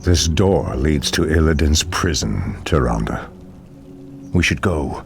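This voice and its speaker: An actor playing an ancient night elf archdruid in a fantasy game, speaking in a grave, formal, commanding manner. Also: male voice, expressive, faint music behind, exaggerated voice. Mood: troubled, grave. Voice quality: deep, gravelly. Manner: slow, grave, formal declamation. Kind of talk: fantasy game cutscene acting.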